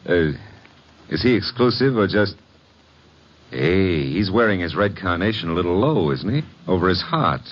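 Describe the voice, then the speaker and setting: A cocky private eye's legman, low-voiced and very lively, full of dry flippancy. It is a muffled old radio mystery recording. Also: moderate pace. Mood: flippant. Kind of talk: 1940s-50s radio detective acting. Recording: muffled vintage radio recording, faint hiss.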